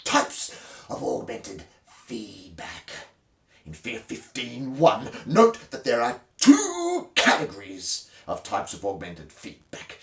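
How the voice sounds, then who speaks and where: A man giving a mock-dramatic reading of a textbook, with hammy emphasis that swells suddenly and drops to a hush. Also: mid-range voice. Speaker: host of a dramatic reading series. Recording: roomy, distant microphone.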